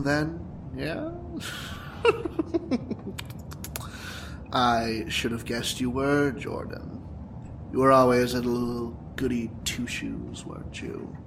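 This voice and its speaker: Slow, smug villain played by a man, noisy backdrop. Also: mid-range voice. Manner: languid, taunting. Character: smug, mocking male crime lord villain, lounging and unhurried. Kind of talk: audio drama acting.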